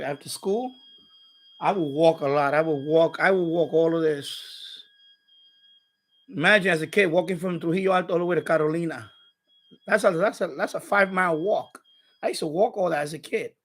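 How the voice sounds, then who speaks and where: A middle-aged man talks casually to his livestream viewers in a fairly high voice with a creaky edge. He rambles along, circling back and repeating phrases as he goes. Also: fairly bright tone. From Puerto Rico. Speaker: live streamer, radio hobbyist.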